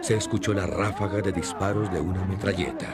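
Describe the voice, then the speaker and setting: A man's voice: low voice, fairly even intonation, a little fast, lightly acted. A man playing a grave news reporter delivering a broadcast within a radio drama.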